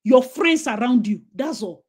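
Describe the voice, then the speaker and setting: A woman's voice: on the high side, measured pace, breathy edge. A Nigerian woman with a Nigerian accent, chatting animatedly and slipping into pidgin as she tells stories. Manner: animated storyteller, slips into pidgin. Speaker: host. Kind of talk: casual chat.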